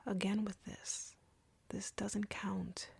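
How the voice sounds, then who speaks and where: Woman playing a slow, whispering, taunting vampire. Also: mid-range voice, flat delivery, no room sound, exaggerated voice. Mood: bored, accusing. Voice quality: breathy, husky whisper. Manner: taunting, slow, deliberate, drawling. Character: arrogant, cruel, flirty female vampire captor. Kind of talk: scripted audio roleplay, intimate whispering.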